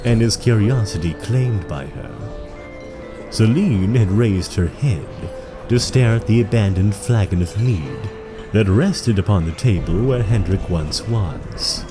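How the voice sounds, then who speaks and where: An actor playing a solemn storyteller, narrating a fantasy audio drama in a dramatic, literary manner. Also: male voice, fairly low pitch, lively intonation, slow, music in the background. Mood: suspenseful.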